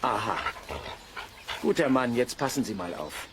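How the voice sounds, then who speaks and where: Actor as haughty forester with swooping pitch. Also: male voice, lively intonation, a little slow, noisy background, lightly acted. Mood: condescending, curt. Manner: pompous, curt, condescending, formal. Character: haughty, stern aristocratic forest owner and hunter. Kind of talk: German children's audio drama.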